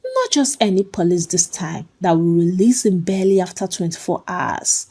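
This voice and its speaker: A woman's voice: on the low side, sing-song, normal speed, warm tone, slightly rough, exaggerated voice. Woman voicing a sassy, emphatic best friend. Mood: playful, sassy. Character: bold, sassy, protective woman friend, resolute and scheming.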